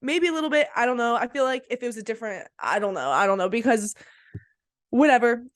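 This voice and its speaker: A woman's voice: a little high, animated, fast talker, no room sound. Enthusiastic woman with a cold, dry close sound. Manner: enthusiastic, slangy. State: slightly sick with a cold. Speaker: podcast co-host. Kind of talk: casual sports podcast chat, fight analysis.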